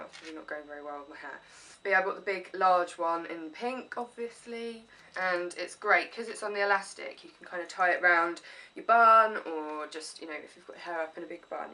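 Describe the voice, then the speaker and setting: A woman's voice: on the low side, pushed and bright, a little hiss or hum. A chatty woman vlogging with a stuffy, sniffly head cold, rambling enthusiastically. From England. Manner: rambling, enthusiastic, self-deprecating. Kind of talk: casual chatty haul video. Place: room at home. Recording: voice a little distant from the mic.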